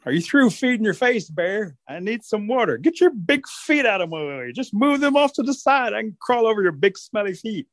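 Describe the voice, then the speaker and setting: Trickster rabbit for oral folk tale , played by a male storyteller: small, cheeky, wheedling, pitched up, close dry sound. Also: normal speed, few pauses, no echo. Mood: cheeky, rude. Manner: rude, wheedling, excitable.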